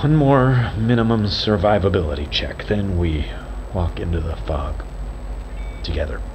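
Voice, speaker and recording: An actor playing a weary, fearful, guilt-ridden radio observer who speaks in a halting, brooding, confessional way. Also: male voice, low-pitched, background noise. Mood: guilty, resolved. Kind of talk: audio drama monologue.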